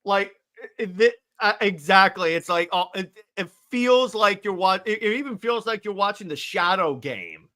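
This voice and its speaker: A man's voice: sits high, animated, normal speed, sharp tone. A man co-hosting a podcast, casually talking through a movie in a conversational way.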